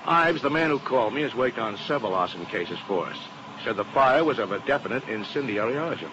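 A man's voice: mid-range voice, expressive, fast, natural voice. A man playing an uneasy insurance agent. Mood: earnest. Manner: earnest, talkative, uneasy. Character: worried male insurance agent, earnest partner. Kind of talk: 1950s radio drama acting. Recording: muffled old radio broadcast with hiss.

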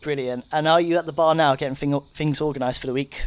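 Male podcast host for interviews and intros, voice a little high. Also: steady tone, fast, noisy background.

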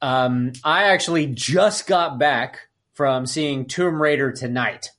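A man's voice: mid-range voice, slow, fairly bright tone. A man co-hosting a podcast, chatting casually about films in an exclamatory, incredulous way. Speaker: podcast co-host. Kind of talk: casual film discussion.

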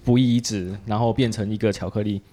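A man's voice: on the low side, fairly even intonation, quick pace, mellow. A man with a Taiwanese Mandarin accent, chatting casually as he hosts a podcast interview. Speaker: podcast host.

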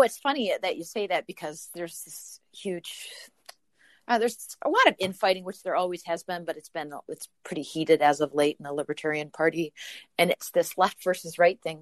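A woman's voice: lowish voice, brisk, dry recording. A woman from Ohio with a Midwestern accent, hosting a casual podcast interview with lots of fillers and frequent laughter. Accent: Midwestern American. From Ohio, USA. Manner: chatty, laughs often, many fillers. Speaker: podcast host.

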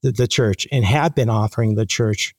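A man being interviewed, speaking conversationally and haltingly as he thinks aloud with repeated false starts. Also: on the low side, a little mellow, no echo. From born in the Dominican Republic. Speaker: interview guest, magazine editor.

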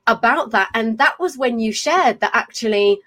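A woman, hosting a casual chat over a video call, talkative and quick to laugh and swear. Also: a little high, animated, a bit bright. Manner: chatty, swears freely, laughs often. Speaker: podcast host. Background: faint fan hum.

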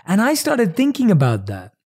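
A man giving a motivational talk, telling a reflective story and slowing down and stretching words to stress them. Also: sits high, wildly swooping pitch, darkish tone. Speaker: host.

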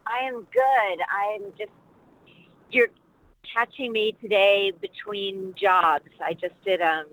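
A middle-aged woman from Washington, D.C., talks through her life story in a loose, reflective way, pausing on fillers as she goes. Her pitch sits in an ordinary middle range. It sounds like a relaxed interview guest chatting. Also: no echo, rough recording. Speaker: actress and dialect coach, interview guest. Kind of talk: casual interview conversation.

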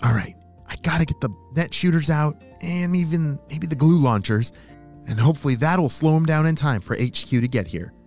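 A man voicing a plucky, excitable young female spy in a children's story, with slangy exclamations. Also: on the high side, moderate pace, faint music behind, natural voice. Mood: determined.

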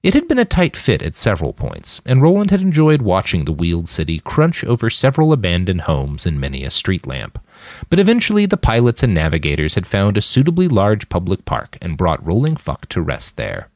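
Deep-voiced male fiction narrator. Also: wildly swooping pitch, fairly quick, darkish tone, no room sound, studio quality. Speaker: audiobook narrator.

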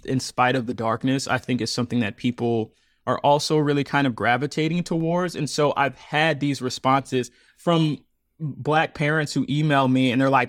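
A man in his thirties, a guest on an interview podcast, talking earnestly and reflectively, often laughing and jumping up in pitch when amused. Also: fairly bright tone, no echo, clean studio sound. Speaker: podcast guest, audio storyteller.

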